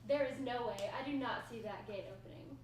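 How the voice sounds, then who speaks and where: A woman's voice: a little high, fairly quick, lightly acted. A woman in a table read playing a stern, suspicious housemaid with formal, curt phrasing. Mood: doubtful. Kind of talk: amateur screenplay table read. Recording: echoey room, distant mic, faint noise.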